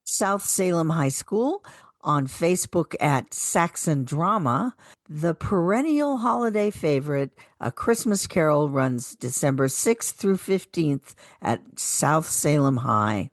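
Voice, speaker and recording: Woman radio presenter, very unhurried scripted read, dry studio sound. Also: low voice, animated, dry recording. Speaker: radio co-host. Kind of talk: scripted calendar announcing.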